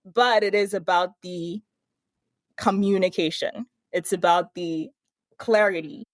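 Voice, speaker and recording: Woman guest from Nigeria, thoughtful and measured, close dry mic. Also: on the low side, steady tone, no room sound. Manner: reflective, measured explanations. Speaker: podcast guest, brand consultant. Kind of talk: casual interview conversation.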